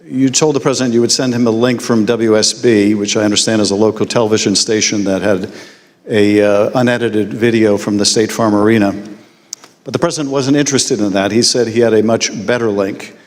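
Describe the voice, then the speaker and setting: A man questioning a witness at a formal hearing in a measured, deliberate way. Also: fairly low pitch, steady tone. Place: large hearing room. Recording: long hall reverb.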